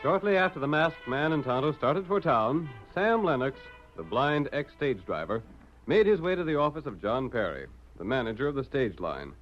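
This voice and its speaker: Animated old-time radio announcer man. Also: mid-range voice, normal speed, soft background music. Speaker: radio drama narrator and announcer. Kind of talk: dramatic scripted 1940s radio narration. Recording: dull vintage radio recording.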